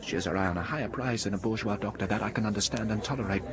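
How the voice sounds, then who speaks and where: An actor playing a proud young English gentleman doctor in a period audio drama, speaking formally and with passion. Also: lowish voice, very fast, lightly acted. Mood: wounded, indignant. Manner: impassioned, formal, eloquent. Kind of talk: period radio drama acting.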